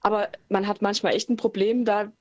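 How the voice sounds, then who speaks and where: A woman who writes and sings her own songs talks through an interview in her native German, calm and thoughtful, pausing often on small fillers. Her voice sits at an ordinary middle pitch. She is heard over a remote call line. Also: dull audio. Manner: relaxed, thoughtful, many fillers. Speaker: singer-songwriter guest. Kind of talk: casual interview talk.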